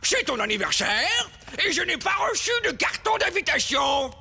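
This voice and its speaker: A woman's voice: sits high, sing-song, moderate pace, gravelly, full character voice. A woman voicing a whiny, bossy, self-important troublemaker in a children's cartoon, petulant and theatrical. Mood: outraged. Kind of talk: French children's cartoon acting. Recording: loud music behind the voice.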